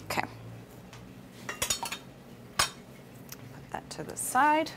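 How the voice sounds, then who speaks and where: A woman in her thirties talks through a recipe step by step at a medium pitch. She sounds conversational and casual, like the host of a live cooking class. Clear room noise and kitchen ambience sit behind her voice. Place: indoor kitchen set with wooden worktop.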